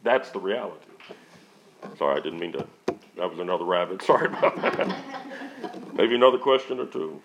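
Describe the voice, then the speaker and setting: A man's voice: deep voice, expressive, a little fast, long pauses, very noisy. A man who works as a missionary answers audience questions in an earnest, conversational way, often restarting his sentences as he goes. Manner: rambling, frequent restarts. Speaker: missionary speaker. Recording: dull lo-fi audio.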